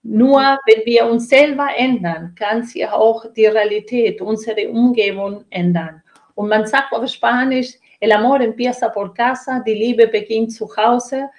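A woman's voice: a little high, a little slow. The invited guest on an educational talk show, a middle-aged woman with a Latin American accent, earnestly setting out what she believes in. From Chile and Brazil. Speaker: interview guest, trainer and coach. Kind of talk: reflective interview answer about own life and work.

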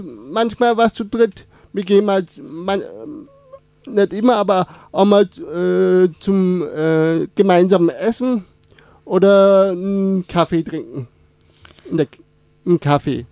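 A young man with Turkish as his first language talks at an unhurried pace in a high, soft-edged voice. He stops, restarts and fills gaps often, like a casual guest feeling his way through questions. The sound is dry and close. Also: no room sound. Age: 20s. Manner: halting, many fillers and restarts. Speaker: radio guest. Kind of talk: casual interview.

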